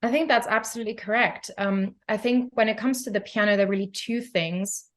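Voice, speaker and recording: A young woman from Germany speaks English with a German accent as a podcast guest. She talks in a thoughtful, reflective way, pausing gently between her ideas. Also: mid-range voice. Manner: thoughtful, reflective, with soft laughs and hesitations. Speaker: guest, pianist and founder. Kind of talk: podcast interview.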